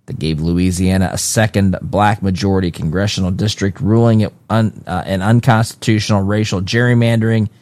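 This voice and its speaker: A lower-pitched man talking through a news article in a casual solo commentary. He reads a passage, then drifts into conversational asides, restarting phrases as he goes. The sound is close and dry with no room around it. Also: a little mellow, no echo. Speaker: political commentary host.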